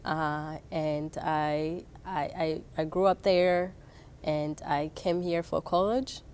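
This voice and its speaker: A woman's voice: low voice, fairly even intonation, slow pace, darkish tone, clean tone. A young adult woman from Rwanda, a program chair, answering interview questions with frequent fillers and restarts. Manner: hesitant, many fillers and restarts.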